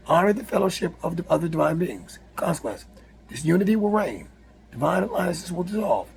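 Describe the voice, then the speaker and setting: A man with a raspy, hoarse voice, reading a numbered list aloud with casual asides, often stumbling and rereading words. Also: sits high, darkish tone, slight background noise, rough recording. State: hoarse from yelling. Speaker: spiritual video host.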